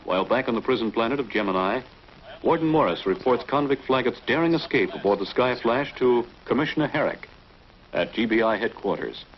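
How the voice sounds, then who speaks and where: A man's voice: mid-range voice, lively intonation. A male narrator giving scripted, announcer-like narration for a 1950s TV drama. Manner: announcer-like, measured. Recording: dull lo-fi 1950s TV soundtrack.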